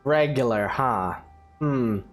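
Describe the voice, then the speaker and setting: A woman's voice: rumbling low, slow pace, faint music behind. A woman gamer giving casual, wry and playful commentary while reading some game dialogue aloud. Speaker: gaming streamer.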